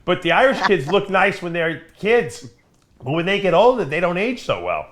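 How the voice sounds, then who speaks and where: Brief: New man hosting a podcast, animated swinging pitch, jokey banter. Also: moderate pace. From New York. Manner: animated, joking, acts out quotes. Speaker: podcast host. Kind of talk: casual chat.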